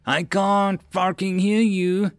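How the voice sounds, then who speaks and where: A man's voice: on the high side, expressive, measured pace, no room sound, voice pushed up. A man voicing a bullying, sarcastic military drill instructor in an audiobook, barking and sneering theatrical insults. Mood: menacing.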